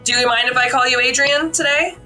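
A woman's voice: high-pitched, steady tone, brisk, sharp tone, faint music behind. A woman hosting a podcast interview in a friendly, chatty way. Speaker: podcast host. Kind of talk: casual interview.

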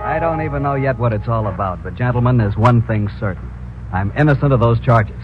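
Um ator interpretando um prospector de petróleo americano indignado, num drama de rádio dos anos 1950. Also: male voice, medium pitch, animated, fairly quick, loud music behind the voice, lightly acted. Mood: protesting. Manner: protesting, emphatic. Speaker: radio drama actor. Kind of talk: 1950s radio acting. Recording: dull old radio broadcast recording.

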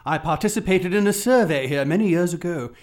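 An actor playing a composed scholarly surveyor of ancient sites in a radio drama, with formal, measured wording. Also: male voice, high voice, a little mellow, natural voice. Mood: scholarly, intrigued.

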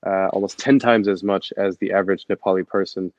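A man's voice: low voice, fairly even intonation, brisk, no room sound. A young man from Germany with a German accent, telling his story as an interview guest with many fillers and restarts. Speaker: guest, adventure photographer and expedition guide.

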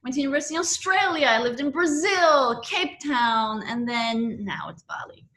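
Bright, lively Swiss-born woman guest. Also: sits high, moderate pace, rough recording. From Switzerland. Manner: loud, playful and laughing. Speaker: podcast guest. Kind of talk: casual interview chat. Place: home in Bali, calling in remotely.

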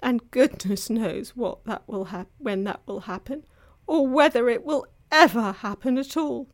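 A woman voicing a gentle, guilt-ridden male fawn in an anguished, self-reproaching, melodramatic way for a children's storybook. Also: a little high, unhurried, voice pushed up, close to an everyday voice. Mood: tearful, afraid. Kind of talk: dramatized children's storybook reading.

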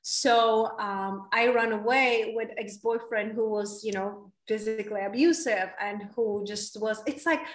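A woman's voice: medium pitch, fairly even intonation, unhurried, few pauses. Woman with a Czech accent, echoey and distant. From Czech Republic. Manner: earnest, with frequent "like" and "right?". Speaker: podcast guest. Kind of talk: candid personal storytelling.

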